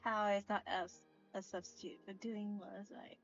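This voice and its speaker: A woman's voice: medium pitch, level tone, moderate pace. Slightly muffled woman streamer reacting casually. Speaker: VTuber streamer playing a video game. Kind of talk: casual livestream game reactions, reading dialogue choices aloud. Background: game music.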